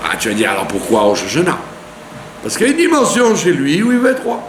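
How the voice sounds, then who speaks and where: Man, rabbi, sermon, fairly high voice, lively intonation, echoey room. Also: quick pace. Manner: animated teaching, with rhetorical questions and frequent "d'accord?". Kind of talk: Torah lesson sermon. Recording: echoey room with faint hum.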